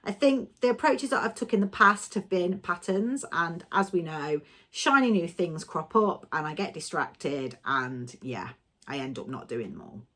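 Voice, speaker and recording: A woman's voice: fairly low pitch, animated, a little slow, a bit bright. A woman talking casually about her sewing plans, a little nasal and stuffy from a cold, chatty and given to rambling. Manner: chatty, rambling, self-correcting. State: has a cold, slightly nasal and coughing. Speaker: sewing vlogger. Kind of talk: casual vlog chat.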